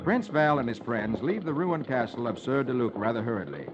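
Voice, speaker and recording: A man's voice: medium pitch, sing-song, a little fast. An American man hosting a children's radio show, reading the funnies aloud in a theatrical, articulate 1950s storytelling style. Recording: muffled old radio recording, music behind.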